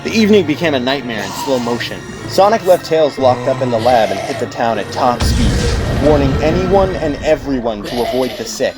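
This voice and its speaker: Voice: mid-range voice, animated, fairly quick, few pauses, over loud music. A narrator reading a scripted dramatic audiobook story.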